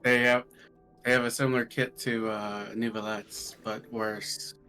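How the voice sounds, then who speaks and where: A man's voice: lowish voice, little pitch movement, measured pace, sharp tone, faint music behind. Offhand young guy chatting about games. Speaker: streamer's friend on voice chat. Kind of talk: casual gaming chat.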